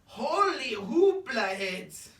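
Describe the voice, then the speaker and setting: Woman acting out an excitable high-voiced little kitty boy. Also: extremely slow, heavily acted. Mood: excited. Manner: exclamatory, theatrical. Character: excitable young male kitty boy, cheeky and bold. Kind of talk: children's picture book read-aloud. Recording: roomy, distant microphone.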